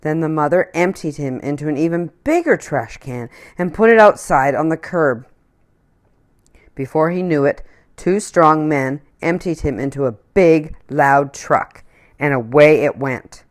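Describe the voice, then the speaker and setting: A woman reading a children's picture book aloud in an expressive storytelling manner. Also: deep voice, measured pace.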